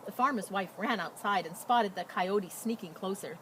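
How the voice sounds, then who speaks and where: A woman speaking as a school storyteller outdoors, explaining and reading in a simple, animated way for children. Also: mid-range voice, normal speed. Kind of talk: casual introduction and expressive children's read-aloud. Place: outdoors beside a chicken coop. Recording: noisy outdoor recording with animal sounds.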